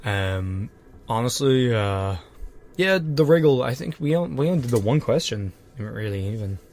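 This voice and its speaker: A man co-hosting a podcast, trading deadpan absurd jokes in casual chat, a little congested from a cold. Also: medium pitch, moderate pace. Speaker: podcast co-host.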